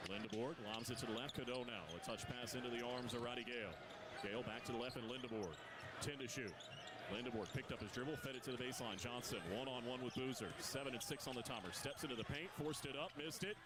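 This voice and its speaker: Man calling basketball over a noisy arena. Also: medium pitch. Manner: rapid, rising excitement on big plays. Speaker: play-by-play announcer. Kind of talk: radio basketball play-by-play. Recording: radio broadcast with loud arena crowd noise. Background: arena crowd and bouncing basketball.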